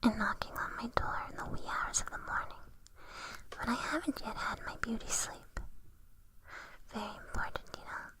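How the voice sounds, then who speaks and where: A woman voicing a sly, haughty evil witch in an ASMR roleplay, in an unhurried, condescending, breathy whisper with soft menace. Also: a little high, close to an everyday voice, poor audio. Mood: haughty, scolding.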